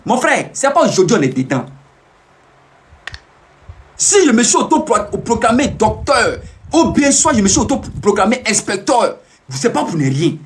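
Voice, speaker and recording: A man talks straight to his live viewers in a high voice, pressing the same warnings again and again. His delivery is animated and swoops widely, and he stops now and then to answer comments before he goes back to preaching. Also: moderate pace, pauses often, slight background noise. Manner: emphatic, repetitive, preaching warnings. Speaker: self-proclaimed peace ambassador streaming live.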